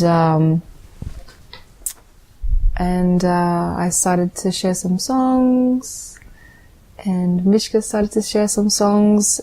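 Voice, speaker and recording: A woman, a guest musician telling her story in a soft, dreamy, heartfelt way. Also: fairly low pitch. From Australia. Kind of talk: casual interview storytelling.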